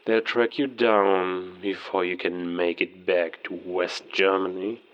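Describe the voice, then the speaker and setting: An actor playing a cold, taunting werewolf villain in a cinematic audio drama, speaking deliberately and threateningly through a radio filter. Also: male voice, low voice, flat delivery, clear, resonant tone. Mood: smug. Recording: muffled lo-fi military radio transmission.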